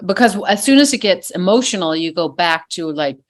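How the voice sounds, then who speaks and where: Danish woman, lower voice, chatty guest who hesitates and fills pauses. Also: animated. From Denmark. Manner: hesitant, many fillers. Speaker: actress guest. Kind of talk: casual interview chat.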